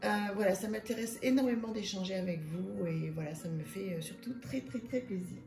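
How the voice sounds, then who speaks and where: Hoarse gravelly woman, hesitant. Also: medium pitch, a little fast, echoey room, soft background music. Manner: soft, hesitant, many fillers. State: slightly hoarse. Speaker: tarot and oracle channel host. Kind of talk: casual card deck review.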